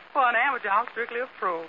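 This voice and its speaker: A man playing an excitable, jokey woman housekeeper in folksy, ungrammatical speech, in 1940s radio comedy style. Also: very high-pitched. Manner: folksy, ungrammatical, giggly and exclaiming. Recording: noisy muffled 1940s radio, loud audience.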